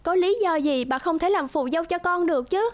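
A high-voiced sweet young bride. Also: female voice, brisk. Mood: earnest, pleading. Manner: youthful, expressive, earnest. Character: sweet, earnest young bride-to-be, devoted to elderly friend. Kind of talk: cartoon voice acting. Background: music.

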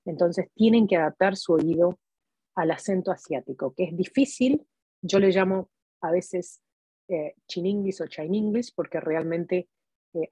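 Argentine woman in her 40s, hoarse, dark husky voice, chatty online lesson that wanders off topic. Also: lowish voice, no echo. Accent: Argentine Spanish. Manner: chatty, digressive, frequent "¿sí?" check-ins. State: hoarse from talking all day. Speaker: immigration and language-test advisor. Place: home room, indoors. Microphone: webcam video recording.

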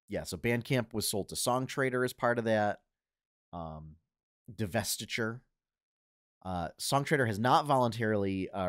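A man in his thirties hosting a casual gaming news podcast from home on a headset, slightly husky from a cold, talking with lots of fillers and restarts. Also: lowish voice, no room sound, clean studio sound. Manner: halting, many fillers and restarts. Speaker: podcast host. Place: home room full of collectibles.

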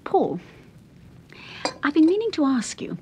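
A woman's voice: high voice, sing-song, measured pace, darkish tone, a little hiss or hum, poor audio. A woman playing an inquisitive, sensible detective's wife in a British radio serial, polite, with Received Pronunciation. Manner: polite, questioning.

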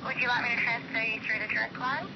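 A woman's voice: on the high side, flat delivery, fast, gravelly. A woman working as a helpline operator, speaking patiently, politely and supportively on the phone. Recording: muffled lo-fi phone line, loud noise.

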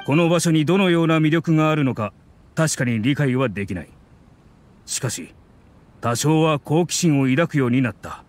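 An actor playing a stern, proud middle-aged scholar father, speaking in a stiff, measured, restrained way in a Japanese game dub. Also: mid-range voice, close to an everyday voice.

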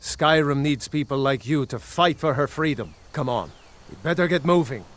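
An actor playing a loyal, earnest, plain-spoken rebel soldier in a fantasy video game. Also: male voice, a little high, animated, normal speed, fairly bright tone. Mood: earnest, persuasive. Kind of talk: video game dialogue acting.

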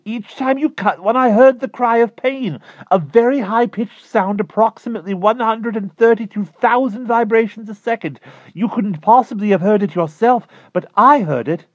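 A man voicing an excitable, peculiar man whose voice goes high and shrill with urgent excitement, on a dull lo-fi recording. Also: moderate pace, dry recording. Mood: tense urging. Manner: urgent, pleading, breathless.

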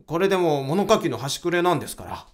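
A man acts out an earnest young aspiring writer in a radio drama. His voice sits high and leaps around in pitch. He is excitable, flustered and quick to complain. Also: close to an everyday voice. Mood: exasperated. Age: young adult. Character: earnest, easily flustered young male aspiring mystery novelist.

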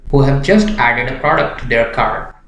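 A young man presenting a software tutorial in a scripted narration style. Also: medium pitch, expressive, normal speed. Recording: roomy echo with background noise, clicks.

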